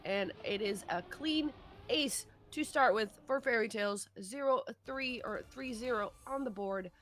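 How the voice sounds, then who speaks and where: A woman's voice: a little high, measured pace, fairly bright tone, slight background noise. A woman commentating live on a racing game, adding analysis as an esports co-caster.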